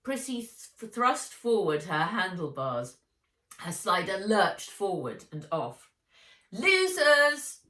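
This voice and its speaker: A woman reading a children's adventure story aloud with dramatic, deliberate delivery. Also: fairly low pitch, lively intonation, a bit bright. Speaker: author reading her own book aloud. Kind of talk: expressive children's audiobook narration. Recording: roomy echo, distant from mic.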